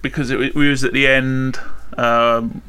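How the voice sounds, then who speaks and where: A man's voice: mid-range voice, flat delivery, unhurried. A man speaking as a guest musician in casual chat, rambling and informal in a colloquial way.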